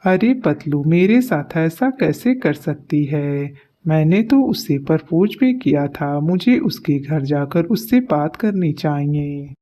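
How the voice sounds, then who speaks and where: A woman's voice: low-pitched, lively intonation, slow pace, warm tone, voice pushed down. A woman voicing a jilted, persistent young male suitor in a cartoon story, aggrieved and pleading.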